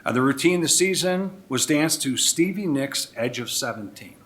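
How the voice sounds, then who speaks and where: A man's voice: mid-range voice, lively intonation, moderate pace, fairly bright tone. A man in his sixties with an American accent giving a public recognition speech as a mayor, partly reading, with informal, folksy asides. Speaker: mayor presiding over a village board meeting. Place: indoor village board meeting room.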